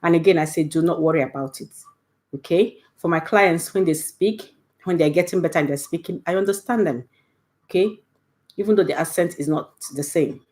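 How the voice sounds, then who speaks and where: A woman's voice: on the low side, a little fast, a little mellow. A middle-aged woman with a Nigerian accent, giving a casual, patient online language lesson and checking in with "okay?" after each point. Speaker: Igbo language teacher.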